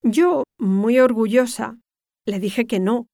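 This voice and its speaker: An older woman from Spain with a Castilian accent delivers scripted educational narration in a measured, didactic way, articulating carefully. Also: fairly high pitch, vocal fry, dark tone, dry recording, studio quality. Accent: Castilian Spanish. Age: 60s or older.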